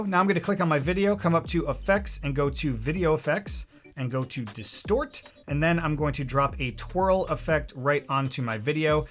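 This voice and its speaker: A man hosting a software tutorial in an informal, joking way, talking casually to his viewers. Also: mid-range voice. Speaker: host of a video editing tutorial series.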